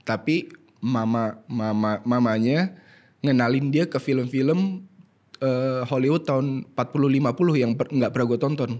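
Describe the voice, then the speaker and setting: A young man, talking casually as a podcast guest, relaxed and a little hesitant, often repeating his words. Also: medium pitch, normal speed. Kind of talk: casual chat.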